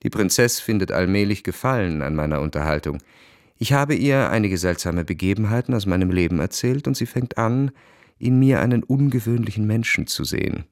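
An actor playing a suave, cynical, bored young aristocrat in a radio drama, narrating and speaking with smooth, ironic detachment. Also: male voice, on the low side, animated, a little fast, dry recording, clean studio sound. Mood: wry, detached.

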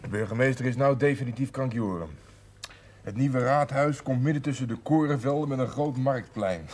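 Actor as an earnest, mid-pitched grown son. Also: male voice, moderate pace, a little mellow, natural voice. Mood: wry. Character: concerned grown son, sensible and somewhat skeptical. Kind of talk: naturalistic television drama acting. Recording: noisy 1970s television soundtrack.

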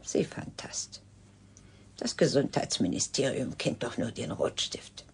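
A woman's voice: very deep voice, sing-song, measured pace, husky, in a whisper, exaggerated voice. A woman playing a cool, sardonic clinic doctor in a German radio drama, speaking in a clipped, dry, condescending way. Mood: sardonic, brisk.

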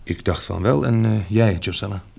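A husky, earnest young survivor. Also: male voice, on the low side, normal speed, darkish tone, heavily acted. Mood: plain, practical. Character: earnest, practical 29-year-old male catastrophe survivor. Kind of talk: Dutch radio drama acting.

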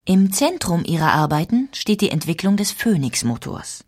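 A woman's voice: lowish voice, sing-song, moderate pace. A woman reading scripted historical documentary narration in a factual, composed manner.

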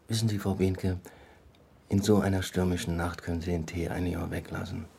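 An actor playing a cool, probing police detective in an understated radio play, with clipped, polite questioning. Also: male voice, low-pitched, flat delivery, moderate pace, vocal fry, noisy background. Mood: playful charm.

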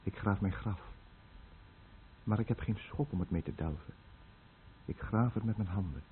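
A man's voice: fairly low pitch, normal speed, close to an everyday voice. An actor playing a dying, guilt-ridden fisherman who tells of his lost village in a brooding, bitter radio drama monologue. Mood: grim. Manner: brooding, bitter, confessional monologue addressed to the listener. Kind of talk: Dutch-language radio drama narration. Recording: muffled narrow-band old radio recording, faint noise.

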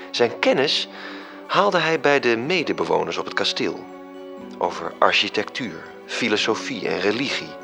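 A man narrating a literary radio drama in a measured, serious storytelling manner. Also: mid-range voice, creaky.

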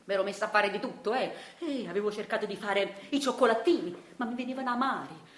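A woman's voice: medium pitch, fast, close to an everyday voice. A woman playing a meek, henpecked, middle-aged Neapolitan clerk in a theatrical radio monologue, telling a resigned and self-pitying tale. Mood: rueful, resigned. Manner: rueful, self-pitying storytelling. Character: meek henpecked Italian clerk of forty-seven, resigned and wistful. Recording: roomy echo, faint noise.

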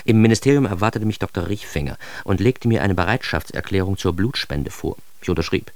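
A man's voice: sits low, fairly quick, dry recording. An actor playing a self-aggrandizing, wry man telling his own absurd story in a radio drama monologue, ironic and theatrical. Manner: ironic, theatrical, shifting into hushed asides.

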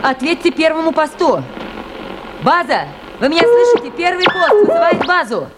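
High, urgent woman radio operator. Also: sing-song, normal speed. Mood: insistent. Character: Katya, resolute, commanding woman radio operator at river station. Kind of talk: Soviet radio play acting. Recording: very noisy 1980s radio drama recording.

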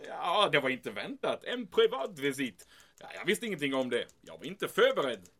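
A man's voice: a little high, sing-song, a bit bright, heavily acted. A man playing a pompous German army major in an audio drama, blustering, evasive and easily flustered. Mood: confidential, uneasy.

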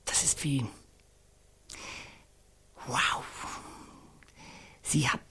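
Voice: drawn-out words, bright, forceful tone. An actor in a radio play voicing an earnest, awestruck storyteller who hesitates, searches for words and repeats phrases. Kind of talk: radio play monologue in halting colloquial speech.

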